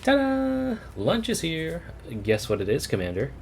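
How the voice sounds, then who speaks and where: Guy doing a peppy bossy girl cook from a game, pushed up higher n louder, easily flustered. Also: a little slow, vocal fry, slight background noise, full character voice. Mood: cheerful, teasing. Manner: excitable, bossy, playful. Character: cheerful, brash, easily flustered young woman cook.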